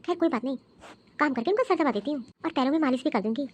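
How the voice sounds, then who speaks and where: A meek, dutiful young daughter-in-law acted by a woman in a homemade family drama. She speaks politely in a high, gentle voice, with a puzzled, deferential manner. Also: expressive, very fast. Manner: polite, soft-spoken, puzzled.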